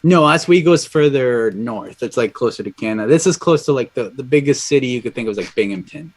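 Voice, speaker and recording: A man in his thirties from Colombia, a guest in a casual interview, speaking in a relaxed, conversational way with lots of fillers. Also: medium pitch, brisk. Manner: relaxed and conversational, frequent "like" and "dude". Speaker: audio engineer guest.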